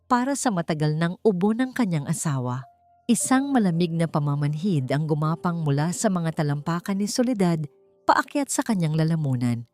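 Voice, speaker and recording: Filipino woman reading a drama story, lowish voice, solemn and emotional, music under it. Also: darkish tone. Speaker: story podcast narrator. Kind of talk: dramatic scripted story narration.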